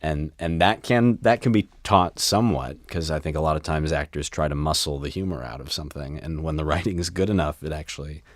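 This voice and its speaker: A man's voice: rumbling low, fairly quick, hardly any pauses, dry recording, clean studio sound. A man, an actor, giving thoughtful conversational answers in an interview. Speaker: actor, panel guest.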